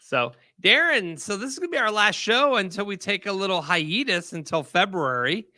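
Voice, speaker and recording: A man with a high, bright voice hosts a casual talk show, upbeat and chatty, now and then tripping over guests' names. He speaks close to a studio mic in a dry, dead room. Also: very animated, moderate pace, dry recording. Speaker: podcast host. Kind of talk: casual chat.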